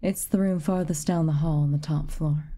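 Woman acting a low, dark-voiced haughty witch. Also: steady tone, normal speed. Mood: aloof, stern. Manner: aloof, clipped, commanding, occasionally breathy near-whisper. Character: haughty, reclusive tsundere witch, stern but secretly kind. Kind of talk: ASMR roleplay, soft intimate delivery.

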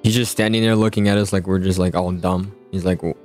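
A young man improvising a casual game roleplay as a student, slangy and excitable, often stammering and repeating himself. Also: sits low, fast, soft background music. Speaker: roleplay video host playing a student.

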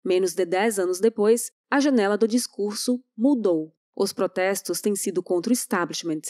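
A woman's voice: mid-range voice, normal speed, warm tone, no echo, clean studio sound. A woman narrating a scripted video essay in a polemical, emphatic manner. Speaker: channel narrator.

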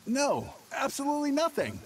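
High-pitched, shifty young merchant. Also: male voice, wildly swooping pitch, normal speed, exaggerated voice. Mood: evasive. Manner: hesitant, stammering, evasive. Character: shifty, nervous young male travelling merchant. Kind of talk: scripted video game voice acting.

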